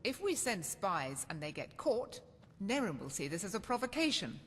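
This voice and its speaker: A woman's voice: mid-range voice, big pitch swings, normal speed, fairly bright tone. An actress playing a stern, blunt female councillor in a fantasy game, speaking in an admonishing way. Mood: disapproving. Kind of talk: cinematic fantasy game voice acting. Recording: long hall reverb, music behind.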